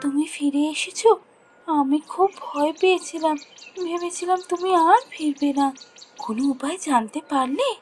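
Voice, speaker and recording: A woman voicing a gentle, earnest young village woman in a fairy-tale cartoon, speaking expressively. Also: high voice, normal speed, close to an everyday voice. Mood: anxious, relieved. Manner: expressive, earnest storybook delivery. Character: gentle lonely young village woman, cursed invisible, grateful. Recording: music behind the voice.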